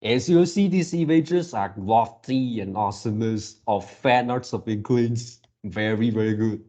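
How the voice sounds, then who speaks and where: A man's voice: on the low side, a little slow, dark tone. A man giving casual reaction commentary as a video creator, rambling like an enthusiastic fan.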